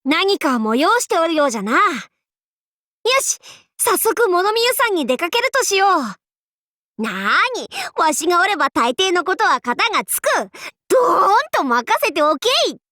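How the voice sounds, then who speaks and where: A woman's voice: very high-pitched, big pitch swings, moderate pace, bright, forceful tone, no room sound, clean studio sound, full character voice. A woman playing a proud, boisterous warlord in an anime game, with pompous, playful, archaic lordly speech. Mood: eager, hearty. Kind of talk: anime game character voice lines.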